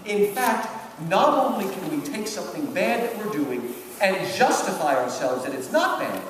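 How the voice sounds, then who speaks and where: A man giving an animated public lecture on literature, emphatic and repetitive, often checking in with his listeners. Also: high-pitched, normal speed. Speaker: lecturer giving commentary on a book. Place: large hall, public event. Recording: distant, very echoey hall, background noise.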